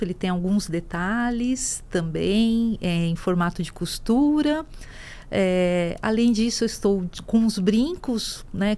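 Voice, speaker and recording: A woman's voice: medium pitch, mellow. A female teacher and education manager speaking in a cordial, composed way on a panel. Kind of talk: panel discussion.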